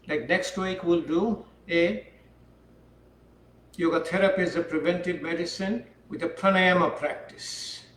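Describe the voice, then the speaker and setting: Calm yoga teacher, high voice, echoey. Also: male voice, slight background noise. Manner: calm, unhurried instruction while holding poses. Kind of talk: demonstrating and instructing yoga poses. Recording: echoey room, voice from far off.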